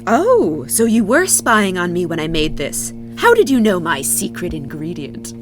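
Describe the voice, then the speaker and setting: A woman's voice: fairly low pitch, big pitch swings, a little slow, faint music behind. A woman playing a practical young mechanic in an audio drama, dry and sarcastic. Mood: wry. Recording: faint hum and noise.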